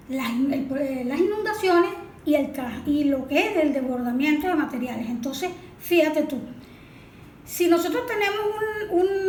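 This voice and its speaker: A woman with a Venezuelan accent giving a didactic technical explanation as a specialist, often posing rhetorical questions. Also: extremely high pitch. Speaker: watershed management specialist, guest analyst. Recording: echoey room, background noise.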